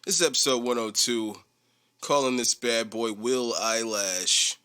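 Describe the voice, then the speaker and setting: Man, young adult, podcast host, bright and forceful voice, rambling and profane, animated storytelling. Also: medium pitch, a little slow, clean tone. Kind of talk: casual solo podcast chat.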